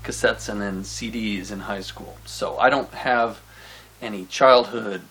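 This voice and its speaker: A man's voice: lowish voice, unhurried, fairly bright tone, a little hiss or hum, rough recording. A man in his thirties, a musician, chatting casually as an interview guest. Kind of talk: casual chat.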